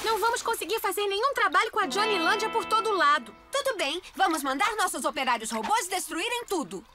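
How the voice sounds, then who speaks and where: A woman's voice: very high-pitched, lively intonation, brisk, over loud music. A woman voicing a bossy, exasperated teenage genius scientist in a cartoon dub, with a Brazilian accent. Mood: exasperated, commanding.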